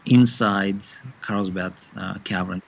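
A man, a bat biologist, giving thoughtful, measured interview answers with occasional fillers. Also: low-pitched, steady tone, gravelly.

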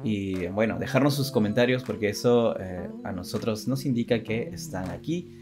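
A man's voice: on the low side, moderate pace, music in the background. A young adult man co-hosting a casual chat for viewers, hesitant and often repeating his phrases. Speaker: co-host of an online chat show.

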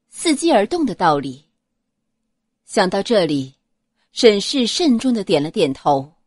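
A woman's voice: mid-range voice, dry recording, clean studio sound. A woman reading scripted, dramatic narration of a novel as an audiobook narrator.

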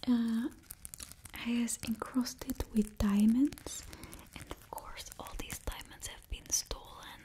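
A woman's voice: a little high, warm tone, low-quality recording. Woman doing a whispering villain stylist with a fake Russian accent. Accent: put-on Russian. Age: young adult. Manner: close whispering, drops little words. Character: young woman stylist to supervillains, fawning, cheerfully ruthless. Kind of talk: whispered ASMR roleplay. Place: small indoor studio with backdrop. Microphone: close mic, face near camera.

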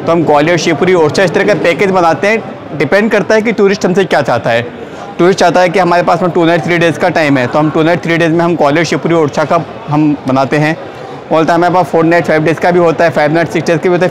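A man's voice: on the high side, fast talker. A middle-aged man from Gwalior, a tourism escort, steadily listing destinations and tour packages. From Gwalior, India. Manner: steady, informative, lists places and packages. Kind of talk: casual interview answer. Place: indoor exhibition hall stall. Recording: busy expo crowd behind.

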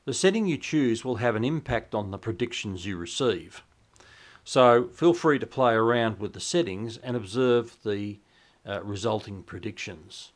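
Low-pitched Australian man explaining software. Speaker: shortwave radio hobbyist, presenter. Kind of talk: instructional software walkthrough narration.

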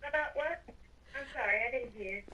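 A woman with a high, bright voice chats casually as she plays a game. She sounds a little away from the mic, with some noise and clicking. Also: normal speed. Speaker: player in a Minecraft roleplay.

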